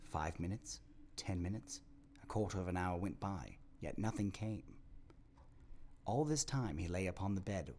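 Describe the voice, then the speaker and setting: A man narrating a dramatic story from a script in an expressive, theatrical manner. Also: low-pitched, slightly rough.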